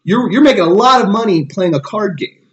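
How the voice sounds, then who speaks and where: A man's voice: sits high, lively intonation, fairly quick, a bit bright, muffled recording. A man in his thirties co-hosting a casual podcast, explaining earnestly and often checking in with "right?". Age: 30s. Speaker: podcast co-host.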